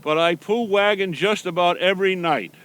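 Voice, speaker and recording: A man's voice: sits high, moderate pace, a bit bright, smooth. A man reading the lines of an eager, sweet young female reindeer from a children's storybook, in earnest, simple phrases.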